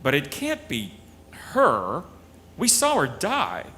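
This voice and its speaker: An actor playing a brisk, wry space marshal hero in a retro sci-fi radio serial. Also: male voice, a little high, huge pitch swings, pushed and bright, a little hiss or hum, heavily acted. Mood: astonished.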